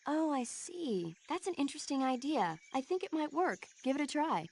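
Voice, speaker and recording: Higher-voiced friendly field medic. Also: female voice, very animated, moderate pace, a little mellow, a little hiss or hum. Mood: encouraging. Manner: helpful, informative. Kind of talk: video game voice acting.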